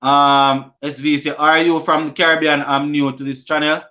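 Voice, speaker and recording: A Jamaican man hosting a casual cricket live stream, reading viewer comments aloud and answering them, often halting and restarting mid-sentence. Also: a little high, flat delivery, pushed and bright, muffled recording. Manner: halting, many restarts and fillers.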